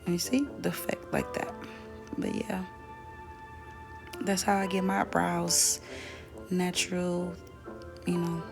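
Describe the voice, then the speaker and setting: A young woman with a slightly raspy, dry voice, chatting casually through a makeup tutorial. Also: lowish voice, slow, long pauses, music in the background. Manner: relaxed, chatty, self-correcting. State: voice dry from weather. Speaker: beauty vlogger.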